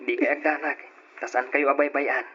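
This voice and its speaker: An actor playing a kind, dutiful young nephew in an old radio drama, speaking gently and respectfully. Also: male voice, mid-range voice, level tone, normal speed, slight background noise, natural voice. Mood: consoling. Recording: dull old radio recording.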